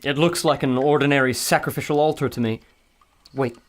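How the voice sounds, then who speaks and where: A man's voice: medium pitch, steady tone, fairly quick, close to an everyday voice. An actor playing an earnest male scholar and translator held captive, in an old-time radio drama. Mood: curious.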